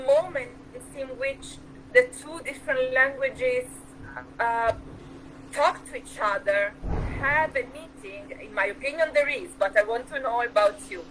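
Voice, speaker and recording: A woman's voice: high-pitched, sharp tone, a little hiss or hum, low-quality recording. A woman host with an Italian accent, asking questions over a video call, enthusiastic and full of restarts. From Switzerland. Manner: enthusiastic, with many uhs and restarts. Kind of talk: interview questions.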